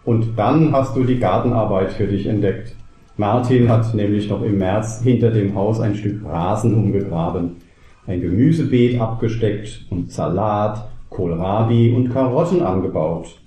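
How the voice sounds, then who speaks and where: A pedantic male teacher acted by a man in a comedy audio play. The voice is extremely dark and muted in tone, dry and deliberate, sounding in a roomy space. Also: on the low side, close to an everyday voice. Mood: matter-of-fact. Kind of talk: scripted cabaret audio play.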